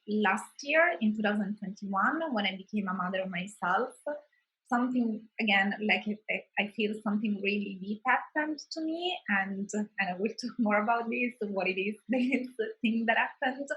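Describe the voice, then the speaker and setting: A woman's voice: medium pitch, a bit bright, rough recording. Woman with an Italian accent. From Italy. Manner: thoughtful, many restarts and fillers. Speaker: wellbeing consultant guest. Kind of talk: conversational interview.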